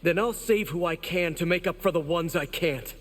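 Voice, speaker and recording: A man's voice: fairly high pitch, normal speed, lightly acted. A man playing an earnest young ninja in a video game, polite, sincere and respectful. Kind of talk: dramatic video game acting. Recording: long reverb, faint background noise.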